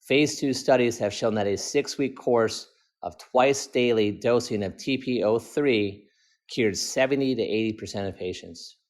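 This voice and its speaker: A man's voice: medium pitch, measured pace, darkish tone. A man, an optometrist, reads his own clinical article as formal scripted narration.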